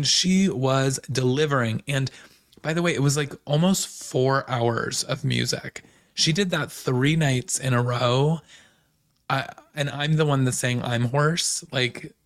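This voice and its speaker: A man hosting a podcast with a hoarse voice, recapping pop culture in a chatty, gossipy way. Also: mid-range voice, fairly bright tone. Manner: chatty, gossipy, fast asides. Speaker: podcast host.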